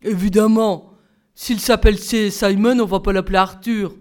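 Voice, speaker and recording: A woman's voice: mid-range voice, steady tone, normal speed, darkish tone, close to an everyday voice. A woman voicing a big, sulky schoolboy who grumbles his lines. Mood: grumbling. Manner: grumbling, sullen.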